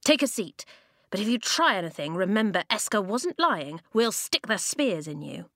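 A woman's voice: medium pitch, very animated, normal speed, fairly bright tone, no echo, clean studio sound. A woman doing a wary, defiant young boy.

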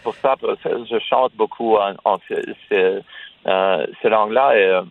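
A man's voice: on the low side, unhurried, vocal fry, no room sound. A man whose first language is Russian, a guest cellist speaking French in a radio interview, hesitant with many fillers and restarts. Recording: tinny phone line.

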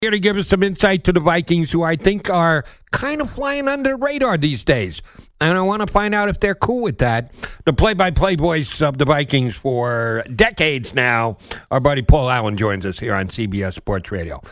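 A man's voice: on the high side, expressive, normal speed, a bit bright, no room sound, studio quality. A man hosting a sports radio interview, talking in a colloquial, opinionated way.

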